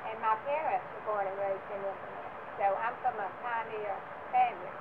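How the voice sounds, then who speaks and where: A woman's voice: mid-range voice, fairly even intonation, fairly quick. An older woman from Florida, plainly telling her life story to a church group. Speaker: church member guest. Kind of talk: casual personal account. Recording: lo-fi phone-like recording with hiss.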